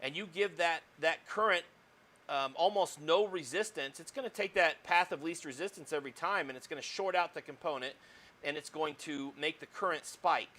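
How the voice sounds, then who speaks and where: A man teaching an electronics lecture on a lapel mic, talking loudly and projecting his voice, with lots of fillers and frequent tag questions. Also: fairly high pitch, normal speed. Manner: loud projecting voice, says he yells. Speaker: college electronics instructor. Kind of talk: recorded lecture.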